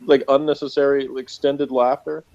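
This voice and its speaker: A man with a medium-pitched voice in a slightly dull, lo-fi recording. He hosts a relaxed review chat, reading from notes and adding his own chuckling remarks. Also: moderate pace, creaky. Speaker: review show host.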